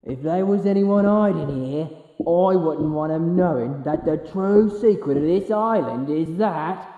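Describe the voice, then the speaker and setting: A man's voice: a little high, unhurried, pitched above the performer's own voice, close to an everyday voice. A man playing a secretive villain on patrol in a comic adventure story. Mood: scheming. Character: secretive patrolling male villain thinking aloud. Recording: muffled, tinny, echoey and distant.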